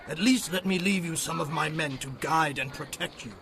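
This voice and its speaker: A man's voice: a little high, moderate pace, creaky, pushed and bright. A man playing a hearty, generous, plain-spoken brother in a dramatized audio Bible. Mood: friendly. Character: Esau, hearty, generous, reunited brother of Jacob.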